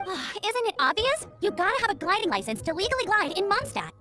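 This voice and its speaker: A woman's voice: very high-pitched, sing-song, brisk, over music. A woman playing a cheerful, eager teenage girl scout in a video game, earnest and informal. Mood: perky, earnest.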